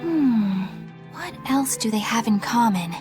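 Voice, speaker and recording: Earnest young game character, exact, formal, prickly, high-pitched, music behind. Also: female voice, lively intonation, measured pace. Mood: methodical. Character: precise, earnest, methodical young woman, prickly. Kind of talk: video game acting.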